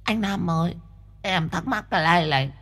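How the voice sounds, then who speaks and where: A woman voicing a chatty, easily frightened young female spirit medium in a breathy voice. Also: lowish voice, a little fast, fairly bright tone. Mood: confiding. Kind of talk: audiobook character voice.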